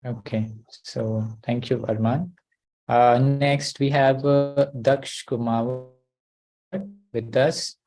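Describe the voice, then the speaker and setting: A man's voice: mid-range voice, fairly even intonation, slow pace, low-quality recording. A man hosting an online class over a video call. He talks hesitantly, with many uh and you know.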